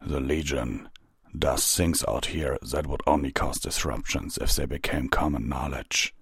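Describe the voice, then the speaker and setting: A man voicing a stern, calm pony soldier who speaks with measured authority in a fantasy story. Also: rumbling low, slightly rough, no room sound, clean studio sound, voice pushed down, heavily acted.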